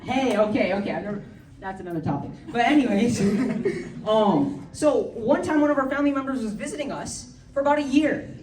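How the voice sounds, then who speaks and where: Teen girl, amateur stand-up, slightly high, giggly and rambling; distant mic in a roomy hall. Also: lively intonation, fairly quick. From United States. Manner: chatty, giggly, rambling with many likes and ums. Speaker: stand-up comedian. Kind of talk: amateur stand-up comedy set. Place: large hall with audience. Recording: echoey hall, speaker far from mic, crowd behind.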